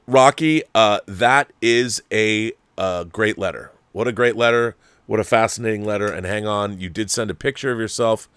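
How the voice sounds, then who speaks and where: A man's voice: lowish voice, a bit bright, no echo. A man hosting an online talk show, speaking in an emphatic, conversational and opinionated way as he reads and answers viewer mail.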